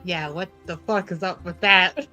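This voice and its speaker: A woman's voice: lowish voice, measured pace, sharp tone, soft background music. A woman chatting casually as a tabletop game player, thinking aloud with a giggly, informal manner.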